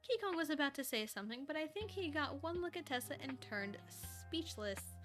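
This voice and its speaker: Bright, high-voiced woman reading fanfiction aloud. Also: moderate pace, faint music behind. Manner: expressive, playful, giggly, reacts to the story. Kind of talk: dramatic story reading with chatty asides.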